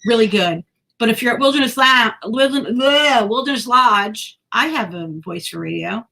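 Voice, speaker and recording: A woman's voice: a little high, lively intonation, moderate pace, sharp tone. A woman co-hosting a podcast in casual chat, talkative and self-deprecating, sometimes tripping over her words. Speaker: podcast co-host.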